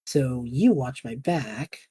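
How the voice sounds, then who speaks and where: A man playing a wary time traveler in a scripted audio drama, with a husky voice. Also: medium pitch, takes it slowly, mellow. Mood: wary, resolved.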